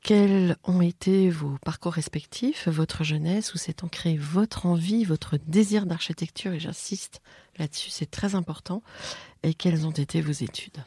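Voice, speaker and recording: A woman's voice: fairly low pitch, unhurried, dark tone, husky, no echo. A French woman hosting an interview podcast, asking questions with frequent hesitations. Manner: hesitant, many fillers. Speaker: podcast host.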